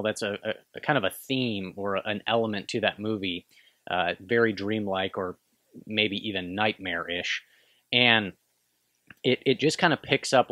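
A man's voice: fairly low pitch, fairly bright tone, no echo. A man in his thirties hosting a casual film breakdown, talking in a rambling, hesitant way into a close microphone in a small room. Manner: rambling, hesitant, full of restarts and "kinda". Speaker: film review host. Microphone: studio microphone close in front.

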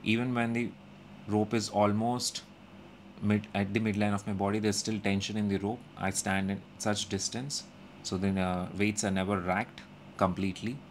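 A young man calmly narrating his gym workout as a voice-over, explaining each step with frequent pauses. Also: fairly low pitch, slight background noise. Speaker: fitness vlogger. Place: indoor gym.

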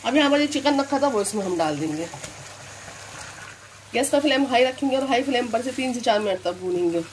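A woman with a Delhi-area Hindustani accent explains a home recipe step by step in a calm, instructive way. Her voice sits a little high. Faint kitchen sounds run underneath. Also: a little fast, a little hiss or hum. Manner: calm instructive, repeats "here" and "this way". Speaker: cooking channel host.

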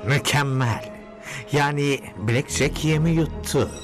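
A man's voice: on the high side, takes it slowly, music in the background. A man voicing a crafty, unhinged villain in a dubbed cartoon, with a suave, mocking, dramatic delivery. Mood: gloating. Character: smug, theatrical male villain, crafty and unhinged.

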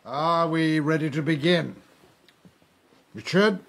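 Gravelly, sardonic old king. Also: a little high, slow pace, a bit bright, heavily acted. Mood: commanding. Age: older. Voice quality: gravelly, husky. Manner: sardonic, commanding. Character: wily, sardonic, suspicious old king and father. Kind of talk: historical radio drama acting.

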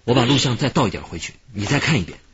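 A man's voice: medium pitch, lively intonation, rapid-fire, fairly bright tone, husky. An actor playing a patient, encouraging policeman in a radio drama. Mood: patient, attentive. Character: patient, encouraging male policeman questioning a witness. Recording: dull radio drama track, faint noise.

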